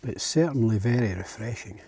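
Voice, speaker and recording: A man with a Scottish accent and a husky voice, chatting casually and informally to camera outdoors as a camping vlogger. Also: on the low side, brisk. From Scotland. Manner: relaxed, informal, chatting as he goes. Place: outdoors at a lochside campsite.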